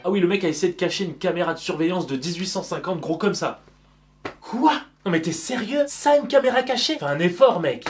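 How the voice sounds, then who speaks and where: A man's voice: high-pitched, animated, fairly quick. A man giving a casual, slangy and theatrical comedic commentary as host of a cartoon recap, with music behind him.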